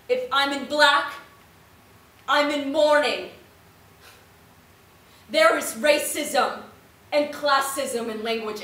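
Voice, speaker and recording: A woman's voice: sits high, pushed and bright, exaggerated voice. A woman playing an earnest young Puerto Rican-American in a stage play, speaking dramatically and emphatically. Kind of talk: theatrical stage acting. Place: indoor stage, recorded from far off. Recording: roomy, distant stage recording, faint noise.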